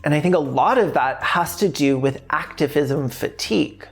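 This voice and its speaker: Woman with a low, sardonic delivery. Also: moderate pace, soft background music. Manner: sardonic, frank, swearing freely. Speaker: video essayist and host. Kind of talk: candid scripted video essay with casual asides.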